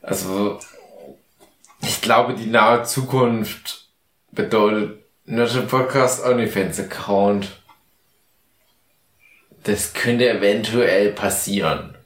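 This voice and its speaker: A man's voice: fairly low pitch, slow pace, pauses often. A tipsy man co-hosting a podcast, rambling in a mock-philosophical way during a casual chat. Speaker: podcast co-host. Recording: echoey room.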